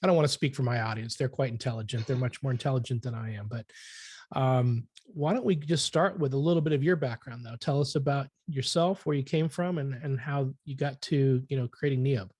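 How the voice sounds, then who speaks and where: A man's voice: mid-range voice, fairly quick, breathy edge, no room sound. Podcast host guy, easygoing and self-deprecating, dry close sound. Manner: friendly, self-deprecating, relaxed. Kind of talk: casual interview hosting.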